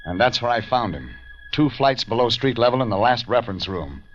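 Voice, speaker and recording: A man's voice: medium pitch, a little fast, natural voice. Hardboiled radio private eye played by a man, music behind. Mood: narrating, dry. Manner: clipped, deadpan, hardboiled. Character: tough, wry male private detective. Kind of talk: 1940s radio detective drama, narration and dialogue. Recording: dull vintage radio, organ music behind.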